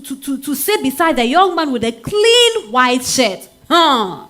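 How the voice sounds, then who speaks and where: Woman acting high-pitched, animated Ghanaian storyteller wife. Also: heavily acted. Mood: wistful, animated. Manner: expressive, conversational interjections like eh and hmm. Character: spirited married woman recounting her love story. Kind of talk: dramatized stage reading, storytelling monologue. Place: live literary festival stage reading.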